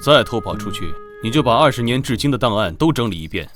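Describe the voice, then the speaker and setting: An actor playing a strict, protective knight captain in an animated drama, curt and commanding. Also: male voice, mid-range voice, fast, faint music behind, natural voice. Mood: stern. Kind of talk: Chinese animated drama voice acting.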